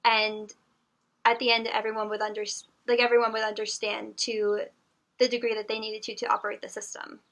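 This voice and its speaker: A woman's voice: a little high, level tone, fairly quick, a bit bright. A young adult woman answering a job interview on the phone, earnest and polite, with frequent fillers. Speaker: job applicant.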